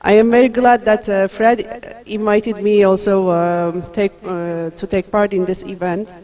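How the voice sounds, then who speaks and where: A woman from Turkey giving a university lecture, talking in a friendly way with many fillers and pauses. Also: mid-range voice, flat delivery, darkish tone.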